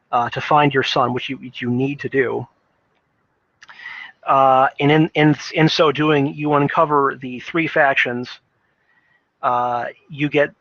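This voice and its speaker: A man giving an unscripted opinion monologue about video games, thinking aloud in a halting way and repeating words as he goes. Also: medium pitch, a bit bright, no room sound, lo-fi sound. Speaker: gaming commentator.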